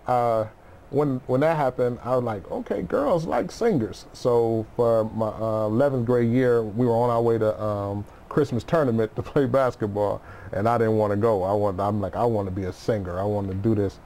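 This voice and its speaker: A man's voice: lowish voice, moderate pace, dark tone. A middle-aged man speaking as an interview guest, chatting casually and informally with slangy storytelling. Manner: informal, slangy storytelling, often says you know what I mean. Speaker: interview guest, music producer. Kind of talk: casual chat.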